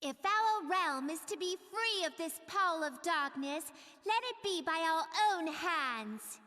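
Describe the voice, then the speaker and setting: Solemn gracious young lady leader, game cutscene, really high voice, unhurried, big hall echo. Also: animated, bright, forceful tone, heavily acted. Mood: solemn resolve. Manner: measured, formal, reassuring. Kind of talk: video game cutscene acting. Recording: long echoing hall reverb.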